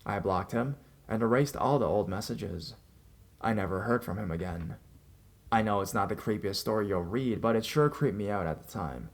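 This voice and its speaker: A man's voice: fairly low pitch, lively intonation, moderate pace. A man narrating scripted first-person scary stories as a storyteller. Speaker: narrator reading listener-submitted scary stories. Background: background music.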